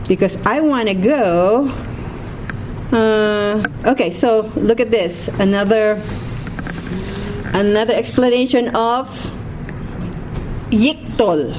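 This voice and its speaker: A woman with a Filipino accent teaches a grammar lesson at an unhurried pace. Her voice sits a bit high, and she keeps checking in with the class as she goes. Clear background noise runs under the classroom recording. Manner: patient, repeats words, checks in with "okay?". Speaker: Hebrew teacher. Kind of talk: classroom lecture. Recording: noisy room recording.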